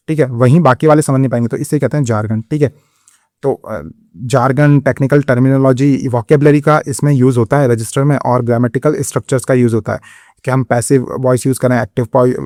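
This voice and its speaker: A man's voice: medium pitch, darkish tone, dry recording, studio quality. A man teaching an exam-prep lecture in Hindi mixed with English terms, speaking with an Indian accent and checking understanding often. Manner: explanatory, repeats "theek hai" to check understanding.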